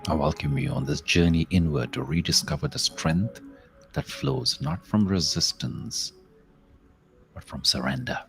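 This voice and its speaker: Male meditation guide, extremely low voice, contemplative spoken-word delivery with long pauses, faint music underneath. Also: animated, vocal fry. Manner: measured, contemplative, with long pauses and softly trailing phrases.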